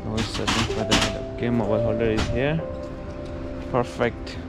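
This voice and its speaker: A man's voice: lowish voice, little pitch movement, creaky. A young man from Nepal with a Nepali accent, chatting casually in a vlog on a suburban street, filmed on a body camera. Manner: quick, chatty, friendly. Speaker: vlogger. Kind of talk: casual vlog chat, mixing Nepali and English. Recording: background music over the voice.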